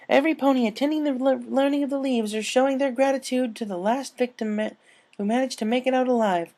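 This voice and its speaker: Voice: medium pitch, a little mellow, voice pushed up, close to an everyday voice. A performer voicing an earnest, bookish young female pony in a cartoon fan story.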